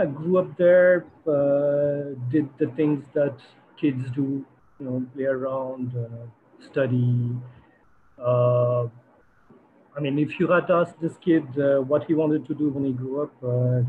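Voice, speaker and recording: This is a man in his forties, raised in Switzerland, speaking at a middle pitch. He talks haltingly, full of fillers and restarts. His voice comes over a thin, phone-like video call line with a faint hiss behind it. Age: 40s. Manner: hesitant, many fillers and restarts. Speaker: guest photographer. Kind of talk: online talk presentation. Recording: tinny phone-like online call audio.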